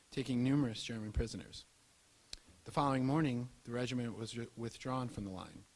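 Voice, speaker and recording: A male narrator delivers scripted history in a mid-pitched voice. His delivery is formal and measured, as in a documentary presentation. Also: rough recording.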